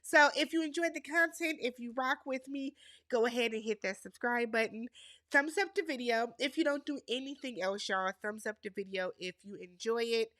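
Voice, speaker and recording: A woman's voice: a little high, moderate pace, a bit bright, no echo. A woman with a Wisconsin accent recapping a reality show, nasal and congested from a cold, opinionated and chatty with her viewers. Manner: opinionated, addresses viewers as y'all. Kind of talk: casual recap chat.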